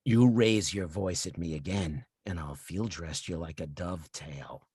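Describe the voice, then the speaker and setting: A man acts out a menacing slasher villain on a threatening phone call. The voice is very low and rough with husk, and it moves slowly and deliberately. It taunts with sinister control, recorded close and dry. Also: darkish tone, no echo. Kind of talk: slasher film table read.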